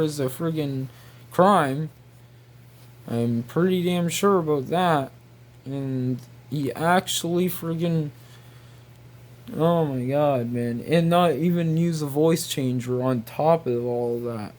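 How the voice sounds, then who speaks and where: A man rambling casually in a halting, repetitive, incredulous way. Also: fairly high pitch, a little mellow. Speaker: video creator.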